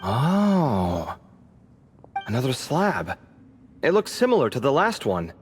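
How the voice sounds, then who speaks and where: A man voicing a sarcastic, excitable young scholar adventurer in a video game, speaking expressively. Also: mid-range voice, slow, big-room sound, soft background music. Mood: curious, wondering.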